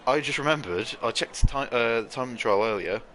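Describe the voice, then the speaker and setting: A man co-commentating a racing game league live, informative and steady, reading out viewer chat messages. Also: medium pitch, fairly quick, slight background noise. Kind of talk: live esports race commentary.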